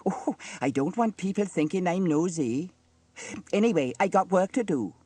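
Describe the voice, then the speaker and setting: Man putting on a high, fussy gossiping village woman. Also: animated, moderate pace, darkish tone, breathy edge, dry recording. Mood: coy. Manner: clucking, gasping, gossipy. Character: nosy fussy gossiping middle-aged village shopkeeper mother.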